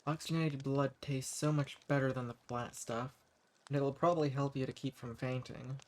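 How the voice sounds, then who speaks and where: A man's voice: mid-range voice, fairly even intonation, a little hiss or hum, natural voice. A man playing a centuries-old vampire in an audio roleplay, speaking straight to the listener with condescending, taunting menace. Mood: taunting, commanding. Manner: condescending pet names, taunting, unhurried menace. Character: centuries-old sadistic male vampire, coolly mocking and possessive. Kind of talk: scripted audio roleplay spoken directly to the listener.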